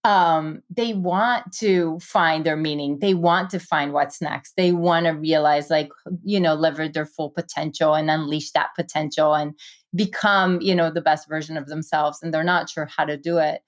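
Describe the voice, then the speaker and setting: A woman from Israel with an Israeli accent, an investor telling her career story in a quick, enthusiastic conversational way with many fillers. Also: sits low, fairly even intonation. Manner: fast, enthusiastic, many fillers and "you know". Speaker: guest, tech investor.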